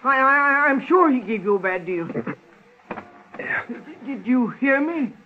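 Very high-pitched timid shopkeeper, muffled radio. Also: male voice, expressive, a little slow, a little hiss or hum. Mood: nervous, placating. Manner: nervous, stammering, pleading. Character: timid, frightened little male pawnshop owner, placating. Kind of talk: 1940s-50s radio crime acting. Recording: muffled old-time radio recording.

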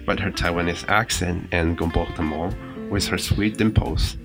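A young man from China with a deep voice gives casual, personal reflections as an interview guest. Light music runs softly behind him. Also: fairly even intonation, moderate pace, gravelly. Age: young adult.

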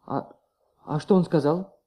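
An actor in a Soviet radio play playing a wary, stubborn young schoolboy who answers in a naive, defensive way, on an old recording. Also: male voice, on the high side, a little slow, dark tone, slightly rough. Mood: wary, anxious. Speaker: radio drama performer playing a child.

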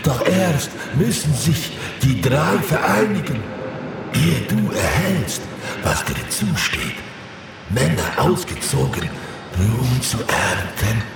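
Ein Mann spielt in einem Fantasy-Hörspiel einen Seher, der in unheilvoller Trance stockend und bedeutungsschwer Visionen verkündet. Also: male voice, medium pitch, big pitch swings, gravelly, heavily acted. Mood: solemn prophecy. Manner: halting, portentous fragments with dramatic outbursts. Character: male seer in a dark, ominous prophetic trance. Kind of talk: fantasy audio drama acting. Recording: cavernous echo, distant, heavy background noise.

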